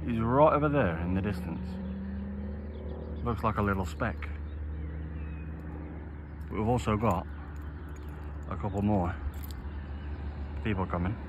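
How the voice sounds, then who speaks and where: A man's voice: on the low side, takes it slowly, pauses often. A man in his thirties talking casually to his viewers as a vlogger, in an informal, chatty, repetitive way. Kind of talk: casual vlog commentary to viewers. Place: outdoors in open countryside and inside a car. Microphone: phone camera. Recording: noisy outdoor and in-car phone recording.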